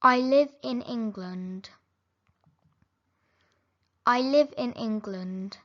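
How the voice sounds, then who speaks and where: A woman reading simple English phrases for learners, saying each sentence slowly and clearly, then repeating it. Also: on the high side, fairly bright tone, lo-fi sound. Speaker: English teacher.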